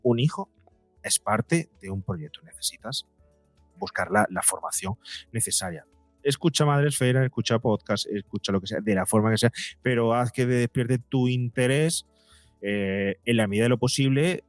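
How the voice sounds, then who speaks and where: A man's voice: medium pitch, animated, normal speed, no echo, soft background music. Spanish man explaining emphatically, dry close sound. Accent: Spain. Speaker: psychologist and podcaster, interview guest. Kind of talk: conversational explaining in a podcast interview.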